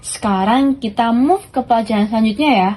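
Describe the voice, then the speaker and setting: A woman's voice: a little high, fairly quick, dark tone. A teenage young woman with an Indonesian accent, co-hosting a student podcast with scripted explanations and chatty links.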